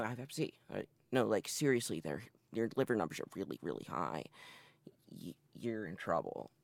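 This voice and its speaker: Brief: twenties man from Massachusetts, candid interviewee, low gravelly voice, dry close sound. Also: a bit bright, no room sound. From northeastern Massachusetts. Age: 20s. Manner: candid, matter-of-fact storytelling. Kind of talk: oral history interview.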